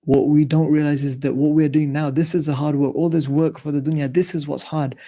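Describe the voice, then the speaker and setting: Earnest male radio preacher, steady monotone delivery. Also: a little high, a little fast. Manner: earnest, repetitive, builds points in lists. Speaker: radio presenter giving an Islamic talk. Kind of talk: live radio sermon.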